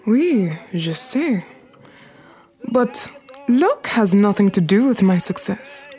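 A woman's voice: medium pitch, sing-song, takes it slowly, dark tone, faint music behind. An actress playing a proud, defiant Harlem crime queen in a period radio drama, elegant and commanding, with formal, precise diction. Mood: poised, guarded.

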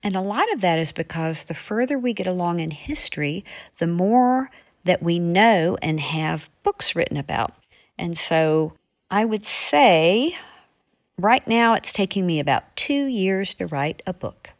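Female author, lower-pitched voice, conversational podcast answers. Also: animated, a little slow. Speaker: author answering reader questions. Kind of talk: conversational monologue.

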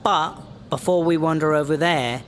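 A man's voice: a little high, moderate pace, slight background noise, natural voice. A man playing a brash, foul-mouthed English smuggler in a sci-fi comedy audio drama, sardonic and slangy. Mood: matter-of-fact.

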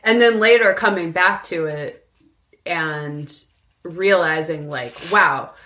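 A woman's voice: on the low side, slow, pushed and bright. A woman co-hosting a podcast, talking about film in a casual, informal, conversational style. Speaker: podcast co-host.

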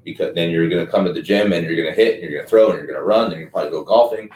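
A man's voice: low-pitched, very fast, a little mellow, slight background noise. A man coaching and hosting a casual podcast chat, talking in a loose, filler-heavy way. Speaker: strength coach and host. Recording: echoey room, mic at a distance.

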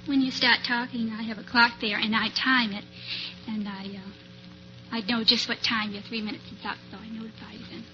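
A woman's voice: fairly high pitch, flat delivery, fairly quick. A woman, a telephone operator, chatting hesitantly and giggling as a quiz show contestant. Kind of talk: unscripted quiz show chat. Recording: muffled old-time radio recording, faint music.

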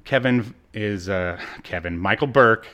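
A man co-hosting a podcast, giving a casual, informal recap in a halting storytelling manner. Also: fairly low pitch, pushed and bright. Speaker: podcast co-host. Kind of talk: casual episode recap chat.

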